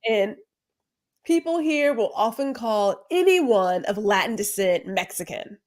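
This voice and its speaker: Emphatic, animated woman podcast host. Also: on the high side, unhurried. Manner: animated, emphatic storytelling with rhetorical questions. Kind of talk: casual conversation.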